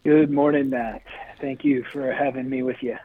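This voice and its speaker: A man with a husky voice leads a prayer, earnest and slow. He is heard over a tinny phone line with a dry sound. His pitch sits in the middle of the range. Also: fairly even intonation, no echo. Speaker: radio co-host. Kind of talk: leading a spoken prayer.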